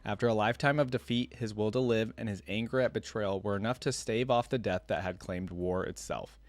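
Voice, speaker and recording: A man's voice: lowish voice, steady tone, brisk, dry recording. A man hosting a game lore reaction video, reading text aloud and adding informal remarks with little tag questions. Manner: informal, often checks in with tags like "right?" and "yeah?".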